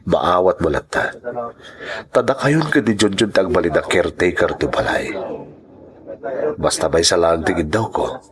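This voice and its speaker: A man with an Ilocano accent acting a kindly, solemn priest in a radio drama, speaking gently and earnestly. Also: low-pitched, moderate pace, long pauses, close to an everyday voice. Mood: gentle, solemn. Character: kindly, solemn male priest reassuring a woman.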